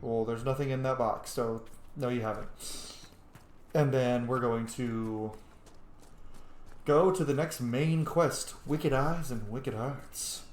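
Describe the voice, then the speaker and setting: A man's voice: mid-range voice, takes it slowly, pauses often, darkish tone. A man chats his way through a video game in a casual, meandering style with plenty of swearing. His voice sits in an average register, in a roomy, echoing space with faint noise behind it. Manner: rambling, profane. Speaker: gameplay streamer. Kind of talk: casual gameplay commentary. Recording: roomy echo, some background noise.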